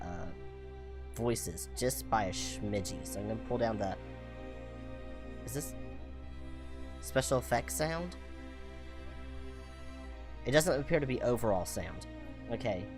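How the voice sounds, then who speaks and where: A playful, chatty streamer giving casual game commentary, musing aloud and reading the text out. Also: medium pitch. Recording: game music under the voice. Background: game music.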